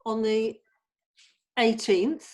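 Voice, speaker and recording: A woman's voice: fairly high pitch, steady tone, very slow, darkish tone. A woman chatting informally in a meeting as she helps organise a group. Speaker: production organiser at a table read. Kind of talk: casual meeting discussion.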